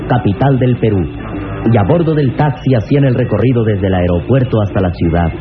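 Male narrator, medium pitch, scripted vintage radio storytelling, thin tinny sound, music behind. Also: brisk.

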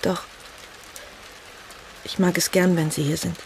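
An actress playing a polite, self-assured aristocratic lady in a radio drama, lonely and gently insistent. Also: on the low side, little pitch movement, a little slow, creaky, a little hiss or hum, rough recording. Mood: earnest, open.

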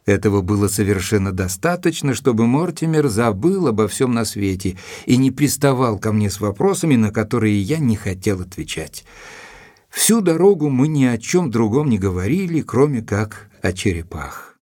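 Earnest, probing gentleman doctor for audio drama; low, somewhat rough voice, deliberate and serious. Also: sing-song. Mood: measured, reflective. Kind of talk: audio drama narration and dialogue.

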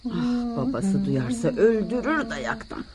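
Anxious mother, highly expressive. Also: measured pace, very noisy. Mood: alarmed, pleading. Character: anxious, long-suffering mother, pleading and exasperated. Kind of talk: Turkish radio theater acting.

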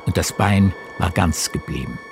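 A man narrating a literary radio play in a measured, composed manner. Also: low-pitched, expressive, slight background noise. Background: faint music.

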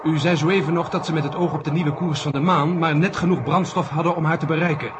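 Male actor as sombre, exacting space expert; slightly high voice, noisy vintage radio-drama recording. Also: steady tone, fast talker, natural voice. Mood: grave. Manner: formal, precise, sombre. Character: grave, pessimistic male technical expert briefing superiors. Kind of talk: 1970 Dutch radio drama acting.

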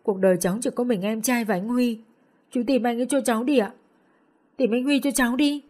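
A woman voicing a distraught young woman who pleads and cries, high and strained. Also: fairly even intonation, a little fast, a little mellow, lightly acted. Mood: pleading. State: crying.

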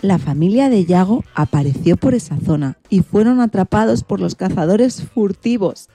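A woman narrating a children's radio story in a deliberate, expressive storytelling manner. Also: mid-range voice, slightly rough, soft background music.

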